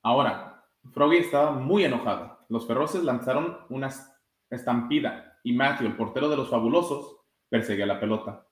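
A man's voice: mid-range voice, moderate pace. A man in his twenties reading a children's story aloud, calling out the characters' exclamations. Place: indoor room with blinds, sitting. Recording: roomy echo.

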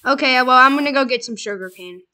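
A young woman playing a block-building game with a friend, chatting casually, excitable and insistent, dropping to murmurs while she plays. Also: sits high, fast. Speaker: gamer. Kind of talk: casual let's play chat.

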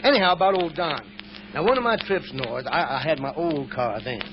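A man's voice: on the high side, animated, a little fast, natural voice. An actor playing a sly, folksy traveling salesman in an old radio drama, gabby and pleased with himself. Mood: boastful, amused. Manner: folksy, chuckling, gabby, self-satisfied. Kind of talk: 1950s radio drama acting. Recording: dull, noisy old radio recording.

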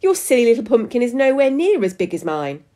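Woman playing a blustering, excitable storybook dad. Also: fairly high pitch, a little fast, pitched above the performer's own voice. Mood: scornful. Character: competitive, excitable, scornful husband and dad gardener.

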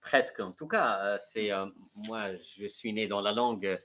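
A man from Morocco, a poet and translator, speaking slowly and haltingly with many restarts at a panel talk before an audience. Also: fairly low pitch, fairly bright tone. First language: Moroccan Arabic. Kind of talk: panel discussion. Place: café venue with audience.

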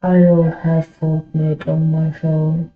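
A woman gamer chatting casually in short, halting questions and replies, in a roomy, muffled recording. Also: sits low, monotone, faint music behind. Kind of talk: casual game voice chat.

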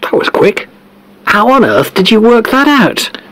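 A man's voice: sits high, very animated, unhurried, fairly bright tone, full character voice. An actor playing a chatty, easily amazed male football podcast host in a scripted audio drama. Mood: upbeat, curious.

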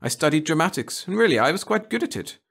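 Man putting on a prim, high-pitched lady schoolteacher. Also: very animated, quick pace, creaky, a bit bright, husky, heavily acted. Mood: prim, proud. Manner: prim, gushing. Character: genteel, modest older woman schoolteacher with theatrical pretensions.

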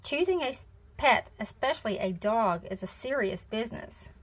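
A woman's voice: fairly high pitch, lively intonation, moderate pace. Storybook mama bear, read by a woman: sensible, firm, careful explainer. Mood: firm, cautious. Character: sensible, cautious mother bear.

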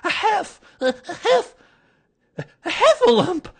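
Panicky, squeaky little piglet, extremely high. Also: drawn-out words, exaggerated voice. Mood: breathless, frightened. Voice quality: squeaky, strained. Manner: stammering, frantic.

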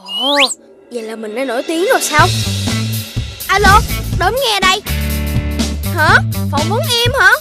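A very high, squeaky little boy puppy in a cartoon, cheeky and playful and whining to be let off. Music plays behind him. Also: sing-song, a little slow, long pauses, exaggerated voice. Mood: chatty. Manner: whiny and pleading when in trouble. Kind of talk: cartoon voice acting. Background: background music.

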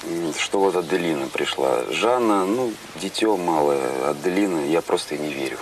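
A man with a very low voice gives halting, uncertain answers, picked up from a distance. Noisy location sound hisses behind him. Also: animated. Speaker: contestant. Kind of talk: casual reality-show chat.

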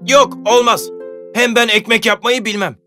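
A woman's voice: a little high, steady tone, moderate pace, pushed and bright, soft background music. A woman voicing a lazy, carefree farm duck in a children's cartoon. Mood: unwilling. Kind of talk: children's cartoon fairy-tale acting.

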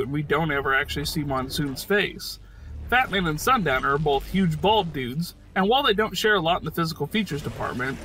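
A man's voice: on the high side, pushed and bright, low-quality recording. A man hosting a video, reading scripted commentary on a game. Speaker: video essay host.

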